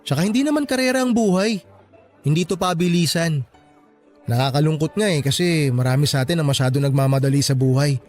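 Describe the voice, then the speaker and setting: A man acting an encouraging, teasing young college graduate with an earnest, animated delivery in a radio drama. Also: fairly high pitch, a little fast, soft background music, natural voice. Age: young adult.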